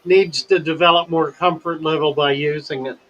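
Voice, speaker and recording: An older man hosting a casual livestream chat, talking in a slow, wordy and deliberate way and telling stories. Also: fairly high pitch, fairly even intonation, fairly bright tone, muffled recording. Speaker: livestream host.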